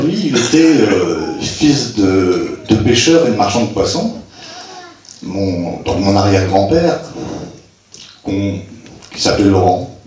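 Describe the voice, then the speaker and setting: A man's voice: fairly low pitch, a little mellow, a little hiss or hum. An older man from Brittany speaking informally to a live audience at a local fête, hesitant, with many restarts, chuckling at his own jokes. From Brittany, France. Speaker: president of a rural club, speaker at a local fête. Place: community hall at a local festival. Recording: echoey hall, voice from far off.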